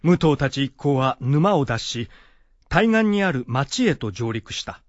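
A man's voice: medium pitch, very animated, measured pace, dry recording. A man narrating a scripted radio adventure drama. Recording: muffled, music behind.

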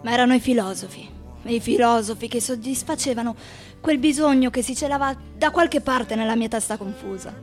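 A woman playing a sardonic, restless male writer in a theatrical radio reading, phrasing deliberately. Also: fairly high pitch, soft background music, lightly acted. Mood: wry, reflective. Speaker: stage actress performing a literary monologue.

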